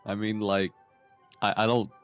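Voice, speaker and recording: Male gamer, lowish voice, faint music behind. Informal, wry, teasing advice in casual chat. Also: little pitch movement, moderate pace, clean tone. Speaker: guest advising the streamer on the game.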